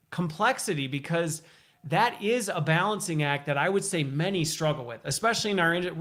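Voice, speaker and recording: A man's voice: on the high side, pushed and bright. A talkative man hosting a conversational interview, thinking aloud, recapping and asking curious questions. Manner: talkative, inquisitive, recaps and checks back. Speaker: show host and interviewer.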